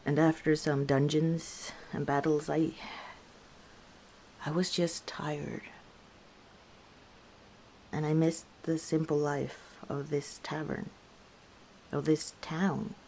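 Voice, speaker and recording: A battle-scarred orc tavern keeper, played by a woman, shares her past in an intimate ASMR roleplay. Her voice sits low for a woman and she speaks very slowly, pausing to reflect. She comes across as weary and guarded, with a gentle wry streak. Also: little pitch movement, a little hiss or hum. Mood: weary, hurt. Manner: unhurried, reflective, hesitant pauses, soft chuckles.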